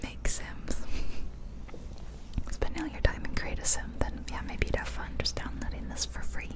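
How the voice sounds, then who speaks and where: A woman whispering softly and breathily, close to the mic, in casual, gentle ASMR commentary on a game. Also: moderate pace. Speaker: ASMR video host and gamer. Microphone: close ear-to-ear ASMR microphone.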